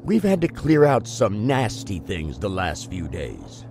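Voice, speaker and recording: A man's voice: low-pitched, huge pitch swings, unhurried, darkish tone, faint music behind, full character voice. A man acting as a surly bandit toll collector in a fantasy audio drama, blunt and intimidating. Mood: smug, demanding.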